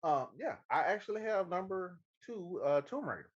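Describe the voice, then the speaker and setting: A man's voice: sits high, very animated, moderate pace. A man co-hosting a podcast in a casual, chatty style, recorded with dull, lo-fi sound. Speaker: podcast co-host. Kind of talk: casual chat.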